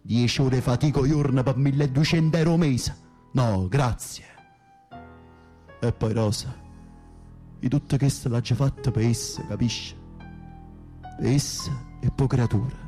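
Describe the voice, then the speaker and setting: A man plays a young Naples mobster behind bars in a radio play. His voice is dark, at a middling pitch, with a Neapolitan accent and a coarse, gruff, confrontational temper. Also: measured pace, long pauses, soft background music, natural voice. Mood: bitter. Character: coarse, volatile young Neapolitan Camorra foot soldier in prison. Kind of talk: radio drama acting.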